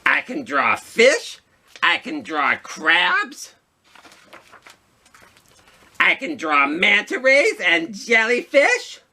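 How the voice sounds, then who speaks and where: A man voicing a boastful, excited giant squid from a picture book, in loud, drawn-out exclamations. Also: sits high, voice pushed up, exaggerated voice. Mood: proud, excited.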